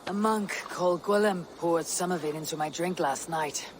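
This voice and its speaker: A woman playing a bold, blunt Viking warrior chieftain with a measured, declamatory delivery in a dramatic game cutscene. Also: fairly low pitch, noisy background, close to an everyday voice. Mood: grave, urgent.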